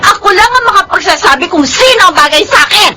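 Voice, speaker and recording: A woman's voice: very high-pitched, lively intonation, fast. A woman playing a feisty, defiant young rich daughter in a Filipino radio drama. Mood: indignant.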